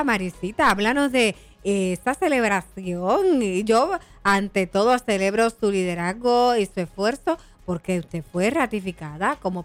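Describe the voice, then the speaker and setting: A woman's voice: mid-range voice, no room sound. A woman with a Puerto Rican accent, co-hosting and asking questions in a live radio interview. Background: music bed.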